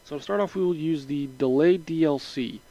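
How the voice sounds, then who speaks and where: A man with a slightly raspy voice from a sinus infection, casually narrating a step-by-step walkthrough at his computer, rambling a little with fillers. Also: a little high, a little fast, dull audio. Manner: relaxed, rambling, with frequent fillers. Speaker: mod tutorial narrator. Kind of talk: casual screen-recording walkthrough.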